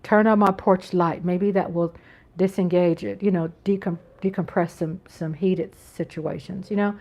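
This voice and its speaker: A woman's voice: lowish voice, fairly even intonation, moderate pace, warm tone. An American woman hosting a casual live-stream chat from home, talking in an earnest, rambling way with frequent restarts. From United States. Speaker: channel host.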